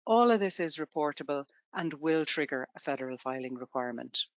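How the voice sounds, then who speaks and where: A woman's voice: low-pitched, animated, a little fast, no room sound, highs cut off. A woman from Ireland with an Irish accent, presenting a tax webinar to students in a conversational way with everyday examples.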